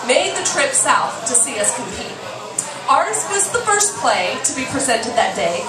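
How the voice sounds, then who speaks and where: A woman's voice: high voice, far from the mic. A woman in her forties reading a memoir aloud on stage with a theatrical, emphatic delivery. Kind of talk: live storytelling, reading a memoir excerpt aloud. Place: small dim bar stage, indoors. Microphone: stand microphone, filmed from the audience. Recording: roomy stage PA heard from off, music.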